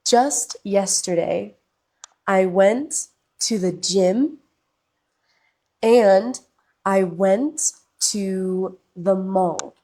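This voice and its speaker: Very slow-speaking woman teacher, congested. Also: mid-range voice, darkish tone. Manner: very slow, short phrases with long pauses. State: sick with a cough and congestion. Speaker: podcast host and English teacher. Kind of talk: slow, deliberate English lesson monologue.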